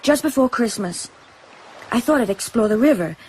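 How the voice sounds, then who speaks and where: A woman's voice: fairly high pitch, lively intonation, normal speed, slight background noise, close to an everyday voice. An actress playing a wary, defiant young island woman who collects shells, speaking directly and challengingly in a radio drama. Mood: haunted, intense.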